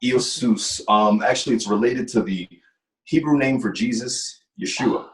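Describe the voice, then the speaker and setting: A man who works as a mind-body coach, a guest in a casual interview, explaining things in a relaxed way and repeating words. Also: mid-range voice, normal speed. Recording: echoey from a distance.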